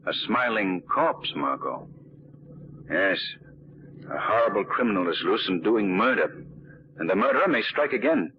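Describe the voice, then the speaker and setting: An actor playing a suave, quietly commanding amateur sleuth, grave and authoritative, in 1940s radio mystery style. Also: male voice, lowish voice, expressive, moderate pace, pauses often. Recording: dull, noisy vintage 1940s radio recording.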